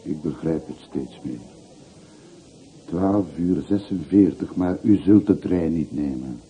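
A man acting in a radio play as a patient, plain-spoken hired porter, measured and deferential while gently prodding. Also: a bass voice. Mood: patient, probing. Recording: muffled phone-like old radio recording, hissy.

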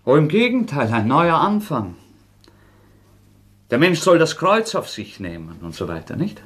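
An actor in a German radio drama playing a proud, cornered murder suspect with a sardonic, mock-polite manner. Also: male voice, fairly high pitch, sing-song, unhurried, fairly bright tone. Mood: bitter irony.

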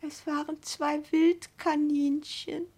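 Scared confused wife, high voice, halting, nervy, radio play, sounds close to breaking. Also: female voice, level tone, a little mellow. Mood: frightened, confused. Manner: halting, anxious, repeating words. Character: frightened, confused, persecuted wife near breakdown. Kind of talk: German radio drama acting.

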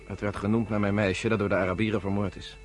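An actor playing an earnest, self-assured young man of the underground in a Dutch radio play, with a measured way of explaining things. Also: on the low side, flat delivery, faint music behind, lightly acted. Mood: grave.

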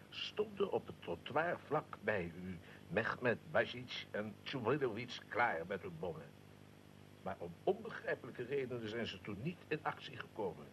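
An actor playing a well-informed, critical investigator in a radio drama, explaining events formally. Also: male voice, fairly low pitch, fairly bright tone, slight background noise, low-quality recording. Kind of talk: radio drama, interview with narrated account.

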